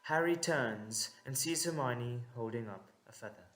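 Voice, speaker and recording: A man narrating a scripted audio drama in a measured, dramatic way with deliberate pauses. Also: mid-range voice, fairly bright tone.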